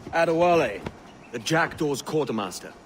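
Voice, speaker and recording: An actor with a Welsh accent playing a roguish, wry, ambitious young pirate captain in a video game. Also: male voice, on the high side, expressive, moderate pace, natural voice. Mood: friendly, steady. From Wales. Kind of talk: video game cutscene acting. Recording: noisy sea and ship ambience.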